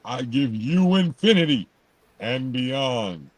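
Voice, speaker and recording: A man on a comedy panel, excitable and crude, stumbling over repeated words. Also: mid-range voice, slow, background noise.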